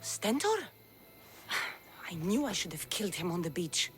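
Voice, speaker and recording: A woman's voice: lowish voice, very animated, a little slow, a bit bright, faint music behind. An actress playing a tough, dry-witted female mercenary in a video game, asking direct questions. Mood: blunt, determined. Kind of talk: dramatic video game cutscene dialogue.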